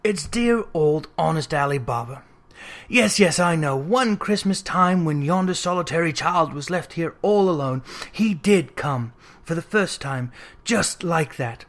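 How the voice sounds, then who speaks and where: A storybook reader voicing an old miser who is fervent, exclamatory and full of boyish excitement. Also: mid-range voice, measured pace. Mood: tender excitement.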